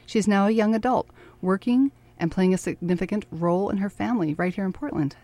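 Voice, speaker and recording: A woman hosting a conversational interview, welcoming and curious, putting clearly phrased questions to her guest. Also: mid-range voice, quick pace, mellow, no room sound. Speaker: interviewer, host.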